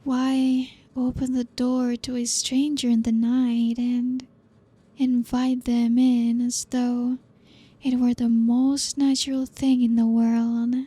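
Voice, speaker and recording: A young woman voicing a teasing, possessive aristocratic vampire in an ASMR roleplay, speaking slowly and softly with hushed whispers. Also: on the high side, little pitch movement, slightly rough. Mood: silky teasing.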